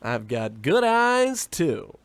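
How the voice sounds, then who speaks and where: A man's voice: fairly high pitch, big pitch swings, slow pace, gravelly, smooth, no echo, full character voice. A man playing a pompous, self-absorbed, insulting adventurer in an old-time radio comedy. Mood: smug. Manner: pompous, bossy, theatrical.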